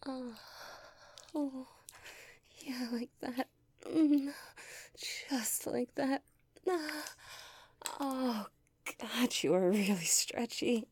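A woman's voice: high voice, very slow, long pauses, a little mellow, no room sound. A young woman speaking in an intimate audio roleplay, whispering breathily and sighing between words.

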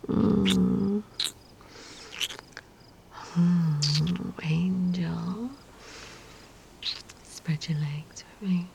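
A woman speaking in a soft, breathy whisper with teasing murmurs, performing an intimate audio roleplay. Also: on the low side, drawn-out words, pauses often, very noisy.

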